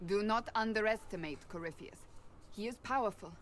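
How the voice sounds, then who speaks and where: An actress playing a resolute warrior woman in a fantasy game, speaking in a formal, measured, solemn way. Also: mid-range voice, slight background noise, natural voice. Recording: game audio with faint background ambience.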